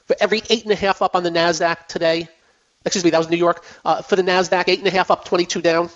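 A man's voice: sits high, level tone, quick pace. A man hosting a finance radio show, losing his voice, talking casually with fillers and repetition. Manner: chatty, repeats himself, many fillers. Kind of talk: casual radio market talk. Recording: dull lo-fi broadcast sound.

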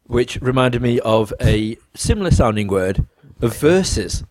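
A man's voice: mid-range voice, big pitch swings, measured pace, a little mellow, no room sound. A young adult man co-hosting a casual podcast chat, talking in an informal, opinionated way with some swearing. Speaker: podcast co-host.

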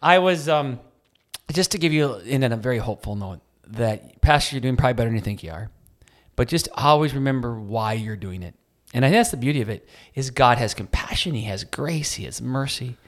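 A man's voice: medium pitch, animated, brisk. A man with a Wisconsin accent co-hosting a faith podcast, talking in an earnest, encouraging way and often restarting his phrases. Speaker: podcast co-host. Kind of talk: casual faith podcast chat.

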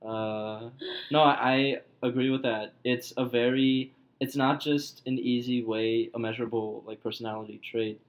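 A woman's voice: a bass voice, steady tone, normal speed, rough recording. A woman co-hosting a casual podcast, teasing dryly and asking probing questions. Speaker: podcast co-host.

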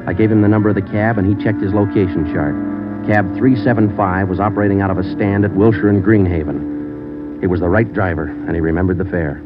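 An actor playing a terse, no-nonsense police detective sergeant, deadpan and matter-of-fact, in an old radio crime drama. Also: male voice, deep voice, a little fast, natural voice. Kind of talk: 1950s radio crime drama acting and narration. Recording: dull old radio recording, music behind.

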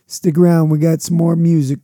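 A man hosting a podcast, presenting music in a relaxed, personal way. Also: fairly high pitch, a little fast, background noise. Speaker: podcast host. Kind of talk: show presenting.

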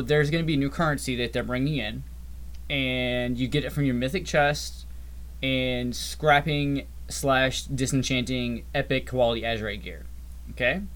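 Guy cohosting a gaming podcast, rambly and explainy, middle pitch, lots of "like". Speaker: podcast co-host. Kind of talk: casual gaming chat.

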